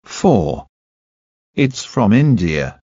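Very slow man reading test items. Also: lowish voice, very animated, dark tone, no room sound, highs cut off. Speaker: listening test reader. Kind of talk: scripted language-test reading.

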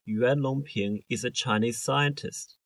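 A man reading scripted English lesson sentences for a listening test, in a measured, carefully enunciated way. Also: medium pitch, fairly bright tone, dry recording. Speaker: exam listening test reader.

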